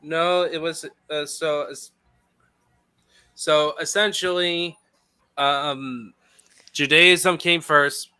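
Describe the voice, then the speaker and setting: A man's voice: a little high, steady tone, drawn-out words, pauses often, bright, forceful tone, clean tone, soft background music. A man in a casual group chat, hesitant with lots of ums. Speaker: podcast guest.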